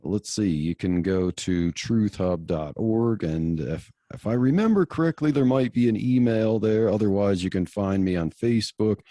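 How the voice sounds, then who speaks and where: A man's voice: fairly low pitch, animated, moderate pace, few pauses, mellow, no echo, clean studio sound. A man appearing as a podcast guest, easygoing and talking casually, often saying "you know" and laughing. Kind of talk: casual interview talk.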